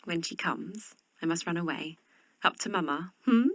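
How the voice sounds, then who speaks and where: An actress playing a timid, earnest young sister of a servant family in a period audio drama, with a husky, breathy, hesitant delivery. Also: female voice, on the low side, moderate pace, no room sound, lightly acted. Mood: wistful. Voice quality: creaky, husky, often breathy and whispery. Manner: hesitant, wistful, reproving.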